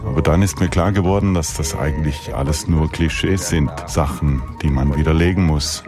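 Very deep male voice-over translator, calm read, music bed behind. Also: normal speed. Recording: voice-over with clear music underneath.

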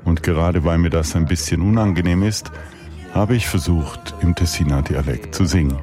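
A man reading a German voice-over translation of an interview in a calm, even manner. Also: low-pitched, moderate pace. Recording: voice-over with clear music underneath. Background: music.

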